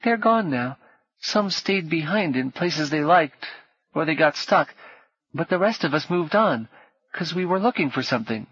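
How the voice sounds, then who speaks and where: A man voicing a high, tearful young boy. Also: lively intonation, slightly rough, dry recording, dull audio. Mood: wistful. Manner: tearful, halting, soft. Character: frightened grieving young boy.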